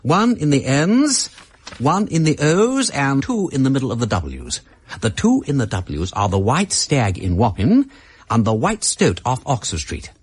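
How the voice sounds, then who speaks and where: Animated, eager English gentleman detective. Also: medium pitch, a little slow, breathy edge, exaggerated voice. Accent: upper-class English. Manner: theatrical, emphatic, explanatory. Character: brilliant, excitable aristocratic amateur detective, eager and quick-minded. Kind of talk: radio drama acting.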